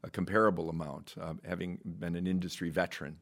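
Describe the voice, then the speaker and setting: A man's voice: deep voice, steady tone, quick pace, a bit bright. A man hosting a podcast interview, joking with his guest and talking in long rambling questions with many restarts. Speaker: podcast host.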